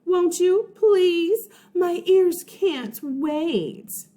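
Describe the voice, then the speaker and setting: A woman's voice: extremely high pitch, lively intonation, extremely slow, warm tone, voice pushed up, full character voice. A woman voicing a bratty, sarcastic young schoolgirl with a mocking, sing-song, sugary way of talking for a children's storybook. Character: sneering, sarcastic bratty first-grade girl classmate.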